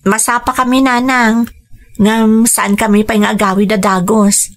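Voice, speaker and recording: A woman's voice: a little high, little pitch movement, measured pace, lightly acted. A young woman playing an earnest, hopeful daughter, respectful to her parents, in an Ilocano radio drama. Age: young adult.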